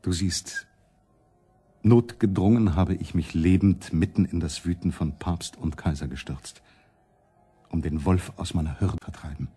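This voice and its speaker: An actor playing a defiant, self-important religious reformer in a German radio play, with an emphatic, declamatory delivery. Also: male voice, low voice, a little slow, dark tone, slightly rough, soft background music. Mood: solemn, calculating. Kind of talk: German radio drama acting.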